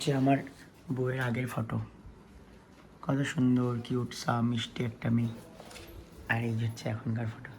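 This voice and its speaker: A man's voice: medium pitch, level tone, normal speed, long pauses. A man chatting casually as a family vlogger. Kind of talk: casual family vlog chat. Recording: loud music, traffic and vehicle noise.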